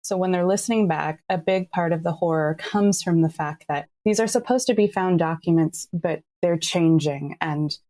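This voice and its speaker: A woman's voice: lowish voice, moderate pace, few pauses, warm tone. A woman giving articulate, enthusiastic explanations as a creative guest in an interview. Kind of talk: thoughtful explanatory interview talk.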